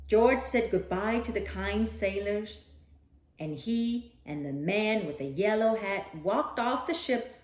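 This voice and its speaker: Slow, animated woman reading a storybook aloud. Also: mid-range voice, reverberant. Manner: animated, dramatic, with playful asides to a young listener. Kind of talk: expressive children's picture book read-aloud.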